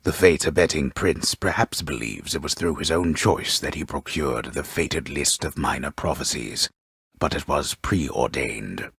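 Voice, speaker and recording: A super deep raspy myth narrator for a game, grave n pompous w dry mockery, slow careful wording. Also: male voice, a bit bright, no echo, full character voice. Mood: wry, grave. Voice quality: gravelly, husky. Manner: grandiose, deliberate, drily mocking. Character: grave, wry, omniscient male storyteller of Greek myth. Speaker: storyteller narrator of a mythological video game. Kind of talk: theatrical scripted narration with archaic wording.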